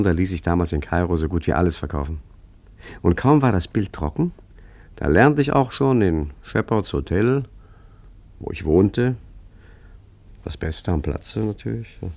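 Radio play role: smug, worldly male swindler, low register, confiding and conversational storyteller. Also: darkish tone. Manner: chatty, smug, tag questions and asides. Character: urbane, boastful, shameless male art forger reminiscing.

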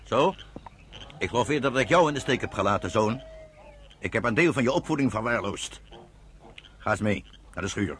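Animated, commanding father. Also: fairly low pitch, normal speed, long pauses. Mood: stern, instructing. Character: stern, proud farmer father, commanding but loving. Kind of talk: Dutch radio drama acting. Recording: noisy old radio play recording.

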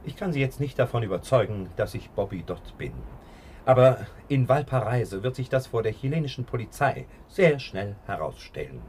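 An actor playing an indignant detective held prisoner in an old German radio crime drama, arguing urgently and emphatically. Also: male voice, mid-range voice, expressive, moderate pace, darkish tone, a little hiss or hum, natural voice. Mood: insistent, pleading.

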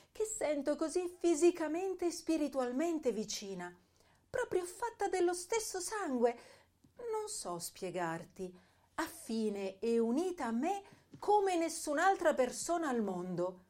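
A woman plays a loving, effusive fifteen-year-old girl in a dramatised letter. The voice is high and mellow, with very lively intonation and a tender manner.

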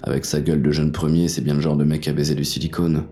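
Very deep hard-boiled fugitive played by a man, music behind. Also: flat delivery, quick pace, mellow. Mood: grim, cynical. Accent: French. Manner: crude, slangy, terse. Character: hard-boiled, cynical, wounded male fugitive with a gun. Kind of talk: first-person noir audio fiction narration.

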